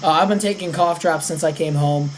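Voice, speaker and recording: Young man with a slightly raspy voice. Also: on the high side, fairly quick, a little hiss or hum. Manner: rattles off stats. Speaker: podcast host. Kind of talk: casual sports podcast.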